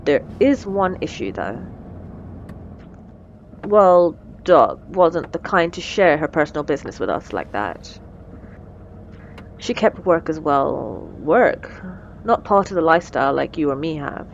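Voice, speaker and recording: A woman's voice: low-pitched, sing-song, measured pace, vocal fry, long pauses, dull audio, slight background noise, heavily acted. A woman playing a wry, menacing supervillain in a scripted audio roleplay, teasing and condescending, with cool threats. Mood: businesslike. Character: wry, menacing female supervillain mentoring a captive assistant.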